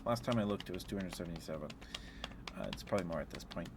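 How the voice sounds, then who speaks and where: A man chatting casually on an ASMR livestream as the host, in a hesitant, halting, chuckly and apologetic way. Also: sits low, noisy background. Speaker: live streamer hosting an ASMR stream.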